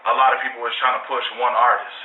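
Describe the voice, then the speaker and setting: An American rapper from Lansing, Michigan, giving a casual phone interview in a slangy, emphatic way full of conversational tags. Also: male voice, mid-range voice, flat delivery, racing. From Lansing, Michigan, United States. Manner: slangy, emphatic, peppered with "you know". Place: phone call. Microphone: telephone. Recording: tinny phone line, roomy, distant, faint noise.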